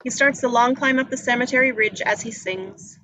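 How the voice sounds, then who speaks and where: A woman's voice: fairly high pitch, normal speed, pushed and bright, slight background noise, poor audio. A woman reading scripted action descriptions as narrator at a live table read.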